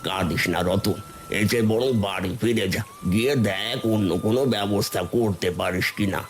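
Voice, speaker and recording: Man acting an older village uncle, low voice, lamenting, folksy, cartoon, faint background noise. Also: moderate pace, natural voice. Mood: concerned, lamenting.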